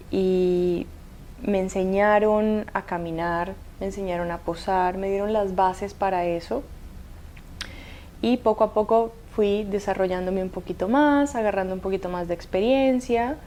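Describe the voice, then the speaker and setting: Woman, 30s, Cuban-Colombian accent, slow and unhurried, hesitant, faint background noise. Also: medium pitch, mellow. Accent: mixed Cuban and Colombian Spanish. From Cuba. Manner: hesitant, many fillers and restarts. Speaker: guest. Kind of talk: interview storytelling.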